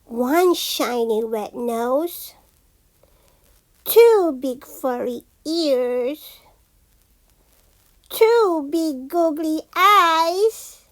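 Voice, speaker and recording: A woman's voice: high voice, expressive. A woman reading a children's picture book aloud in a theatrical, sing-song manner, like a storytelling teacher. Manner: theatrical, slow and deliberate with sing-song repeated phrases. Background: background noise and sound effects.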